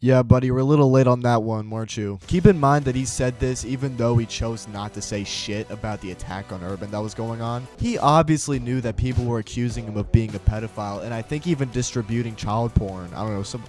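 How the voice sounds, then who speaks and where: A man's voice: medium pitch, darkish tone. Sarcastic man ranting over music. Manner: sarcastic, blunt, slangy. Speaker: video essay commentator. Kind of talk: casual profane opinion rant. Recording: music behind the voice. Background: background music.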